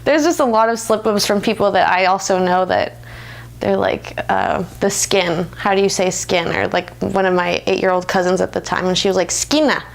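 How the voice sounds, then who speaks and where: A woman in her twenties answers interview questions in an American accent. Her voice has noticeable vocal fry and sits at a medium pitch. She talks in an informal, reflective way. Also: normal speed. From United States. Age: 20s. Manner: informal, often laughs while talking. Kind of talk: reflective, conversational personal interview.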